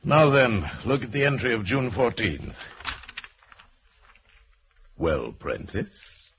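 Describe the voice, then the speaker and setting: An actor playing a stern, sly middle-aged manager in a radio drama, pompous and condescending. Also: male voice, mid-range voice, very animated, slow pace, a bit bright, slight background noise, full character voice. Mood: stern, accusing. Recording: dull vintage radio recording.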